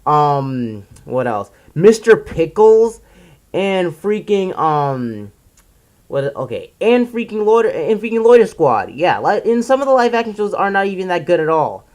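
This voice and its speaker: A person giving casual, opinionated commentary as a video reviewer, in an exasperated, emphatic ranting manner with drawn-out stressed words. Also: medium pitch, sing-song, a little mellow. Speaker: video reviewer presenting a countdown list.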